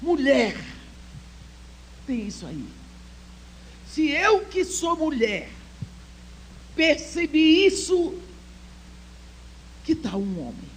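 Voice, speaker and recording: A woman's voice: sits high, sing-song, very slow, pauses often, rough recording. An older woman shares a personal testimony before a women's church gathering, taking her time over each phrase. She lets her voice sink almost to a whisper at tender moments, then presses her listeners with earnest appeals. A faint hum sits under the recording. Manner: heartfelt storytelling, swings between soft near-whispers and emphatic exhortation. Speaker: conference speaker.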